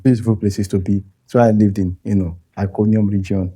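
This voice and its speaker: Low, mellow Nigerian man, chuckling often. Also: flat delivery, husky. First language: Yoruba. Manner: thoughtful, halting, chuckles often. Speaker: guest. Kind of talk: interview conversation.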